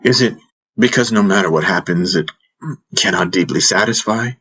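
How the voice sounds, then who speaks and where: An earnest male disciple acted by a man narrating speaks in a fantasy audiobook. He is devoted and confessional, with a low, husky voice. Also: normal speed, sharp tone, exaggerated voice, poor audio. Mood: searching. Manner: confessional, sincere. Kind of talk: audiobook character dialogue.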